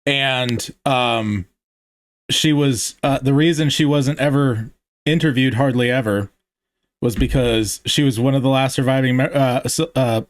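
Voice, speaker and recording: Podcast host, rambling storyteller, dry close sound. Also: male voice, medium pitch, a little slow, dry recording. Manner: rambling, with restarts and tangents. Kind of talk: casual podcast conversation.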